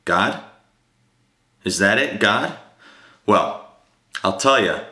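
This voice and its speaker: A man's voice: lowish voice, expressive, takes it slowly, pushed and bright, heavily acted. A man performing a dramatic monologue as the Devil, a gleeful, scornful tempter who rants theatrically and emphatically against God, sly and confiding or roaring. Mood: sly, mocking. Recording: roomy, echoing amateur room recording.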